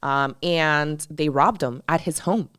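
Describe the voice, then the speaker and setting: A woman's voice: deep voice, takes it slowly, a bit bright, clear, resonant tone, dry recording, clean studio sound. A woman in her thirties from Colombia, co-hosting a podcast in chatty, emphatic talk with many fillers. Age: 30s. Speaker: podcast co-host. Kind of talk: casual podcast chat.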